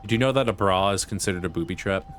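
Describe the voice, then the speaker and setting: Rambling low-voiced man streaming a game. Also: racing, fairly bright tone, a little hiss or hum. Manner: rambling, many fillers and restarts, self-deprecating. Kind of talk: casual chat while gaming. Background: game music.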